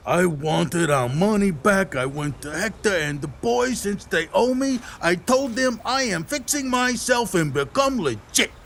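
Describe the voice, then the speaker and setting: A man's voice: sits high, expressive, unhurried, gravelly, few pauses, fairly bright tone, slight background noise, full character voice. A man playing a wounded, remorseful small-time crook in an audio play, sounding hurt and pained.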